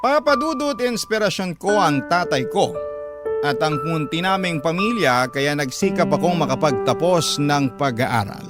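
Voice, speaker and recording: A man's voice: on the high side, lively intonation, normal speed, over loud music, lightly acted. A man with a Filipino accent giving earnest, sentimental scripted narration of a dramatic first-person story.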